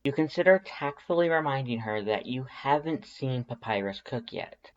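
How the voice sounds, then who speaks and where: A narrator reading fan fiction aloud in an expressive, scripted storytelling style. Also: medium pitch, normal speed, lo-fi sound.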